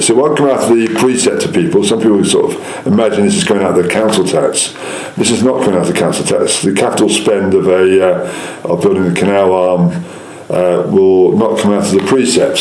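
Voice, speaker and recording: Councillor type: English man, quick, insistent explainer, interviewed in an echoey room. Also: low voice, darkish tone, slight background noise. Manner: insistent, explanatory, repeats points. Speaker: local councillor. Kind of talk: interview answers, political persuasion.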